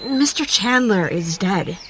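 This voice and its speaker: An actress playing a cautious, probing young hotel worker in an audio drama. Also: female voice, medium pitch, lively intonation, takes it slowly, a bit bright, a little hiss or hum. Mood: guarded. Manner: cautious, questioning.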